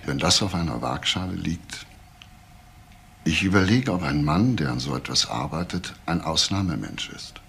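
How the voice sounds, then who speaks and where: Deep, gravelly probing investigator. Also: male voice, lively intonation, fairly bright tone, slight background noise, heavily acted. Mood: insistent, pointed. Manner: deliberate, pointed, questioning. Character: probing, insistent male police investigator, calm and deliberate. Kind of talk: radio crime drama acting.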